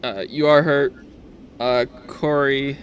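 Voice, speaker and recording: A man acting as game master, narrating casually and haltingly, often repeating phrases as he goes. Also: mid-range voice, steady tone, poor audio. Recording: faint background noise.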